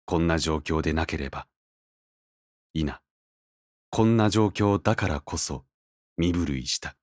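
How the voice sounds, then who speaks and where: A deep male voice, an actor's, narrating a fantasy audio drama slowly and gravely. The delivery is measured and solemn, full of awe, in a dry, close recording. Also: no room sound. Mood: solemn, awed. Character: solemn male narrator, awed by a legendary hero.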